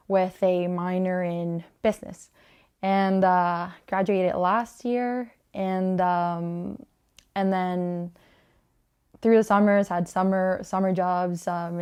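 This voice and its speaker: Clear, smooth-voiced young woman from Honduras. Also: fairly low pitch, darkish tone. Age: 20s. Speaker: small clothing business owner, interviewee. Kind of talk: casual interview monologue about own work.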